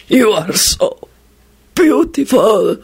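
A huge storybook goblin, acted out by a woman, creepy and fawning. The voice swoops high and slow, breathy and groaning. He sounds wretched and hungry, drawing out each plea. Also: sing-song, a little mellow, heavily acted. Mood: lustful, groaning. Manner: slow, drawn-out, pleading delivery.

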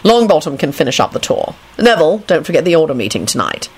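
A man's voice: fairly high pitch, sing-song, fast talker, breathy edge. A woman voicing a stern, sardonic male government minister in an audiobook-style story, formal and imperious. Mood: grave, impatient. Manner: formal, sarcastic, clipped commands.

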